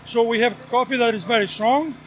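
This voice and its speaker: A man from Greece with a Greek accent, a coffee vendor giving an earnest sales pitch outdoors at a race event. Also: fairly quick, slight background noise.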